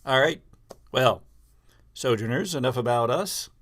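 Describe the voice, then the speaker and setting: A man's voice: medium pitch, expressive, measured pace, fairly bright tone. A man hosting a live podcast in a casual, conversational way as the game master.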